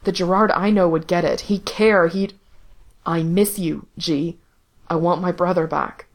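A woman voicing a guarded young man who speaks flatly and bitterly to his brother. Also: on the low side, unhurried. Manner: flat, bitter.

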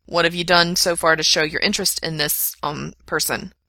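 A woman's voice: deep voice, steady tone, moderate pace, sharp tone, no room sound. A woman voicing a sarcastic, easily flustered male punk radio DJ in a story reading, with blunt, emphatic delivery. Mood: flustered, eager. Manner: emphatic, blunt, on-air patter.

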